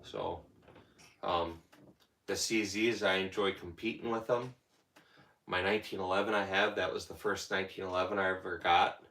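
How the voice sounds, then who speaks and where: A man's voice: sits low, fairly even intonation, moderate pace, sharp tone, echoey room. A man hosting a casual livestream, rambling and answering viewers' comments as they come in. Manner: rambling, talks back to chat comments. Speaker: livestream host.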